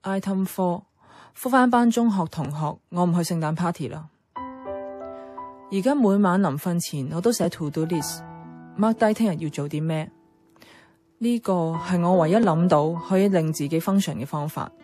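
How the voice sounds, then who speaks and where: A woman's voice: lowish voice, moderate pace, mellow, natural voice. A woman with a Hong Kong Cantonese accent playing a guarded, blunt young office worker in a radio drama, speaking in a dry, matter-of-fact way. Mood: businesslike. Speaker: radio drama actress and first-person narrator. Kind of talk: radio drama narration and dialogue. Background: background music.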